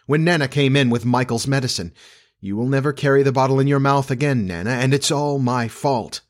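A man's voice: medium pitch, fairly quick, natural voice. Man acting a pompous, blustering Edwardian father. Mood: regretful. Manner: pompous, theatrical, emphatic. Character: blustering, self-pitying, melodramatic Edwardian father.

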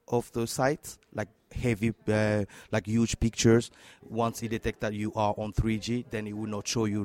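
Low-voiced man with a French accent, halting. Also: darkish tone. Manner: halting, repeats words while searching for phrasing. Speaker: interview guest, tech entrepreneur.

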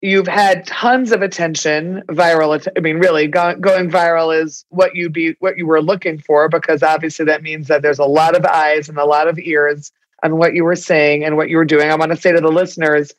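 A woman's voice: low voice, steady tone, normal speed, poor audio. A woman with a New York accent hosting a podcast, asking wordy, conversational questions that she rephrases as she goes. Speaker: podcast host, interviewer. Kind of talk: conversational interview.

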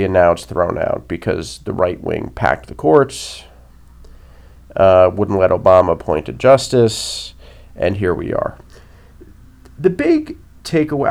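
A man's voice: on the low side, a little mellow. A man hosting his own political podcast, sounding nasal from a cold, blunt and opinionated, with many ums and rhetorical "right?"s. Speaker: podcast host. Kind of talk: casual political commentary podcast.

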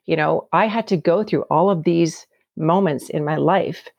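A woman from Canada speaks with a gravelly, creaky texture, her voice sitting a little low. She tells her story earnestly and from the heart, sometimes doubling back on her words. The sound is close and dry, with no room around it. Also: moderate pace, darkish tone, no room sound. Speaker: guest. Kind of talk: reflective personal storytelling.

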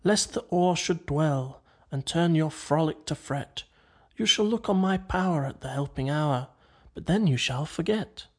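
A man's voice: fairly high pitch, unhurried, husky. A man voicing a poetic water rat in a storybook, murmuring in a dreamy, languid way. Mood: rapt, chanting. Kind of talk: storybook character dialogue.

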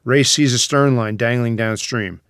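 A man's voice: mid-range voice, normal speed, fairly bright tone, no room sound, studio quality. A man reading out screenplay scene headings and stage directions as a scripted narrator.

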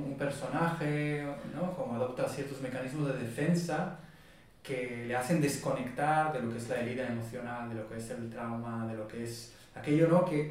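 A man, a body-focused therapist and interview guest, explaining his approach in a calm, measured way. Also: mid-range voice. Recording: very echoey room, distant mic.

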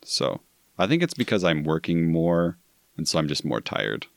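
Very deep-voiced, easygoing male guest. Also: big pitch swings, moderate pace, vocal fry. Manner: enthusiastic, easygoing storyteller. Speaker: podcast guest. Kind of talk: casual podcast chat.

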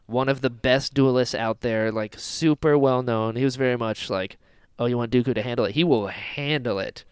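A man co-hosting a casual fan discussion, animated and jokey, acting out quotes as he goes. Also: medium pitch, moderate pace, clear, resonant tone, no echo, studio quality. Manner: animated, jokey, mimics characters in exaggerated voices. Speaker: podcast co-host.

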